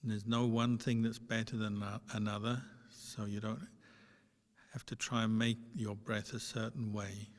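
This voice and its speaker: A man with a fairly low voice leads a guided meditation. He speaks very slowly in short, gentle instructions, leaving long pauses. His tone stays flat and even, and the sound carries a long hall reverb. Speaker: Buddhist monk and meditation teacher.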